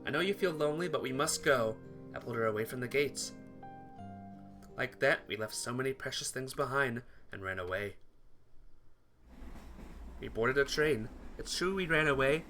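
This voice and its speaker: A man's voice: medium pitch, sharp tone. A man reading first-person visual novel narration aloud for a playthrough, stumbling over words and rereading them.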